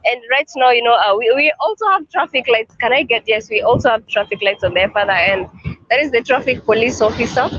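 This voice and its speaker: A man's voice: very high voice, a little fast, sharp tone, dull audio. Hesitant man on a city street. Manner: hesitant, full of fillers. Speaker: guide showing city streets. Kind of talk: casual chat on a call.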